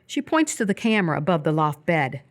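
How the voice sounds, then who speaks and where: A woman reading scripted stage directions as the narrator of a live radio play, in a descriptive, measured manner. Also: low-pitched, big pitch swings.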